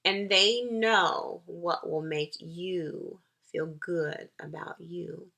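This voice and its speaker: A woman school counselor giving an encouraging, nurturing talk to young children, with deliberate, emphatic phrasing and pauses. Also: lowish voice, steady tone, creaky, bright, forceful tone.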